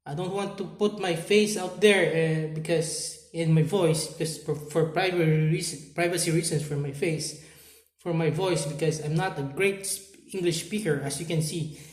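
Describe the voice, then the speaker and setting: A man with a Filipino accent talks straight to camera in a slightly high voice. He halts, restarts and repeats words, filling gaps with "uh" and "you know". The room around him has a noticeable echo. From Philippines. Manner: halting, repeats words, many fillers. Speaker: YouTuber. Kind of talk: unscripted talk to camera.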